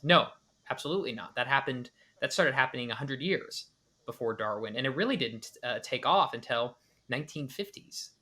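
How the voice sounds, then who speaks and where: A man's voice: mid-range voice, bright, forceful tone. A man hosting a podcast, reacting to a clip with analytical commentary, hedging and restarting often. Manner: analytical, with many restarts and hedged speculation. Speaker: podcast host.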